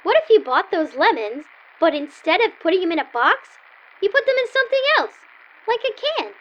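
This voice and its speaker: Sassy bossy kid girl in a radio sketch, high and really animated, bit muffled. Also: moderate pace, heavily acted. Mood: brash, scheming. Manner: brash, wisecracking, teasing. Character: feisty, bossy, sly girl in a radio play. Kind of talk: old-time radio comedy sketch acting.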